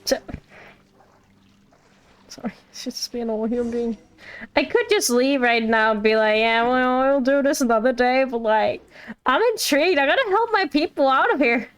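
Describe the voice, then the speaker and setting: High-pitched woman streaming a game. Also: expressive, normal speed, smooth. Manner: playful, echoes character lines. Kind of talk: casual playthrough commentary.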